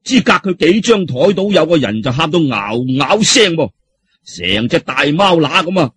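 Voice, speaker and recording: A man's voice: a little high, very animated, moderate pace, a bit bright, breathy edge. A man with a Cantonese accent telling a story in a dramatic, colloquial audiobook style.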